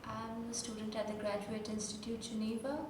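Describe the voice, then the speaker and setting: Young woman student, bright higher voice, far from the mic in an echoey hall. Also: completely flat delivery, normal speed. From India. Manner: polite, eager questioning. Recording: echoey hall, distant mic, background noise.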